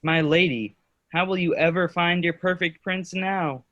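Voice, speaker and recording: A man's voice: high-pitched, steady tone, measured pace, pushed and bright, dry recording. A man playing a friendly, playful young village lad in a children's play reading. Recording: dull, dry, lo-fi sound.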